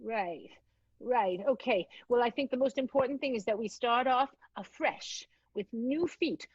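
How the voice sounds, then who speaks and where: A woman's voice: a little high, measured pace, husky. A woman playing a plucky, gutsy young vaudeville performer with perky, emphatic old-time talk in an improvised radio play, on a dull, dry recording. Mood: determined.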